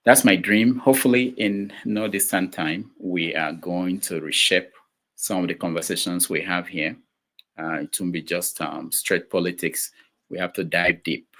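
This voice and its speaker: A man with a very deep, low voice hosts a talk show from a studio. He talks in a halting way, full of ums and restarts as he frames his questions. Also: a bit bright. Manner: hesitant, many fillers and restarts. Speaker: show host. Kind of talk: casual talk show hosting.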